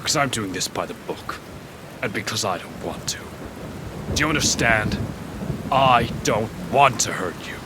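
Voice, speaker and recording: A man's voice: lowish voice, expressive, measured pace, long pauses, background noise. A man acting a stern, weary masked vigilante in an amateur radio drama, grave and emphatic. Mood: grave, pleading. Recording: loud music bed over dialogue. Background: loud music, rain and thunder.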